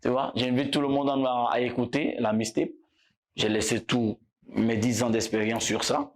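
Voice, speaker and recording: A man from Togo chats as the guest in a casual interview, at an ordinary mid pitch. He speaks in a relaxed, halting way and often repeats his words as he goes. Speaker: musician guest.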